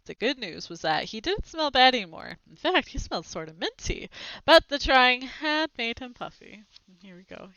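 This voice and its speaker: Animated woman reading a children's story aloud. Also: medium pitch, no echo, highs cut off. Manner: animated, showing pictures as she reads. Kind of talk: expressive children's book read-aloud with brief asides.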